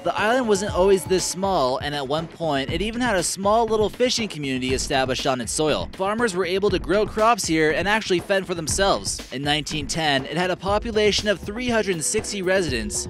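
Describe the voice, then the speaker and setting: A man reading a scripted countdown narration in a casual tone with light wry asides. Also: fairly high pitch. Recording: voice-over with background music.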